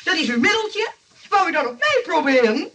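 Flustered, bright-voiced fussy nurse. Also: female voice, very high voice, big pitch swings, moderate pace, distant mic, slight background noise, full character voice. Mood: scolding. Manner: flustered, stammering, indignant. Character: fussy, easily hurt nurse, a flustered landlady. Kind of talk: old radio play acting.

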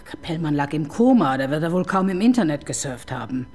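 A woman's voice: sits low, lively intonation, a little fast, darkish tone. An actress in her fifties playing a dry, sardonic woman police chief inspector in a radio crime drama. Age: 50s. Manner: dry, sarcastic.

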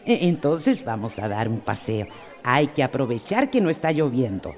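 Very low-voiced fussing woman, animated. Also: moderate pace. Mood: chiding. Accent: Costa Rican. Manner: exclamatory, chiding, motherly. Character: fussing, caring, grumbling woman companion. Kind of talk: radio soap opera acting.

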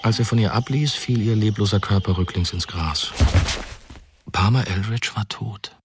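A man's voice: lowish voice, normal speed, noisy background. A man giving scripted, dramatic narration for a German radio play. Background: prominent music.

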